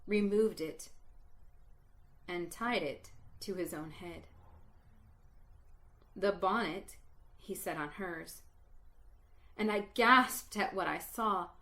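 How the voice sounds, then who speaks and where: Woman story reader, slow and deliberate, bright tone, dramatic pauses. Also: on the low side. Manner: slow, dramatic pauses, hushed at sad parts. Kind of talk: storytelling reading.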